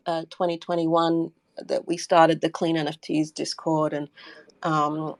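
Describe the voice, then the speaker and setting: Low, creaky-voiced woman, thinking aloud. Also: dry recording. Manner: hesitant, thinking aloud with frequent "I guess". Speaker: interview guest, community member and artist. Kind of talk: casual spoken interview.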